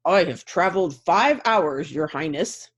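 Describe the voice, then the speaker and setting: A woman's voice: lowish voice, animated, measured pace, husky. A woman voicing a courteous male knight from a storybook, with gallant, formal speech. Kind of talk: storybook character voice.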